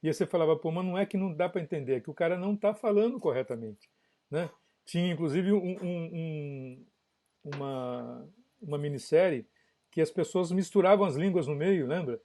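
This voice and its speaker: A man's voice: fairly high pitch, fairly quick, dark tone. A man from São Paulo state, a guest audio engineer, chatting casually on a live podcast and explaining at length with frequent tag questions. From São Paulo state, Brazil.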